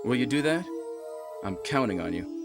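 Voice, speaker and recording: Stilted, composed team captain over loud music. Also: male voice, mid-range voice, big pitch swings. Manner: stilted, deliberate delivery. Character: composed, calculating male team captain, outwardly concerned. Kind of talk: 1990s video game voice acting. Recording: game audio under loud music.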